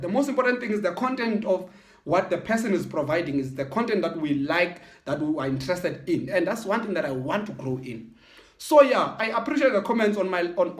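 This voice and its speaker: A South African man from the Eastern Cape, a football channel host, speaking in a casual reflective monologue with halting restarts and repeated words. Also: high-pitched, animated. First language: Xhosa and Sotho mix. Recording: echoey room.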